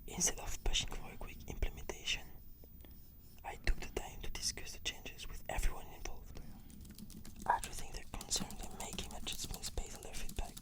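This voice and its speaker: Whispering woman, measured and earnest. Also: bright, forceful tone, dry recording. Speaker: therapy client in a roleplay. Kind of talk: whispered ASMR roleplay answers.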